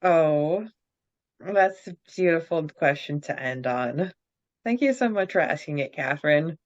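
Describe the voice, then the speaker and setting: Low-voiced, measured woman podcast co-host. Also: expressive. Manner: measured and gracious, announcing segments. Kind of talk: casual podcast chat. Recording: slightly dull, muffled recording.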